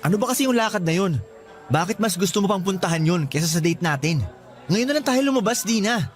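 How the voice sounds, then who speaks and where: A man's voice: high voice, animated, fast talker, faint music behind. A man acting as an earnest young student boyfriend, pleading and insecure, in a Filipino radio romance drama with a Filipino accent. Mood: hurt, pleading.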